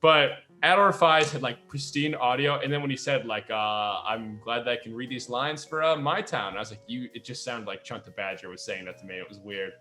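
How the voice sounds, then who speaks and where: A man chatting casually among friends as a podcast host, telling animated stories, acting out quotes and swearing freely. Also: medium pitch, fairly quick, hardly any pauses, faint music behind. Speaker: podcast host and audio drama creator.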